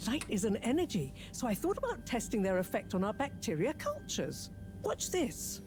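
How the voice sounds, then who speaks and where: Excitable woman scientist, highish swooping voice, eager, precise, video game acting, music behind. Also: moderate pace. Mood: excited, eager. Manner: animated, precise, explanatory.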